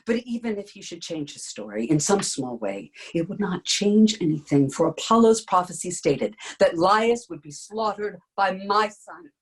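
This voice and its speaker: An actress playing a queen calmly reassuring her husband, sometimes dropping to a whisper. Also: on the low side, moderate pace, few pauses, slightly rough, rough recording. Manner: calm reassuring, drops to whisper. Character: queen soothing her husband, adult woman. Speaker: actor in dramatic reading.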